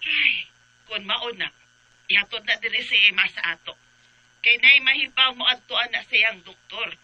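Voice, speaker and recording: A woman's voice: on the high side, moderate pace, pushed and bright, no room sound, dull audio. A woman acting a concerned, exasperated mother in a radio drama, insistent and full of exclamations. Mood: urgent, insistent. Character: concerned, exasperated mother urging treatment for family.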